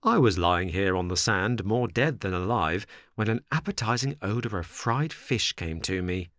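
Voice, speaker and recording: A man's voice: fairly low pitch, expressive, moderate pace, a bit bright, no echo, studio quality. A man voicing a kind, hungry male dog with an earnest, plain-spoken manner. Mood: earnest, kindly.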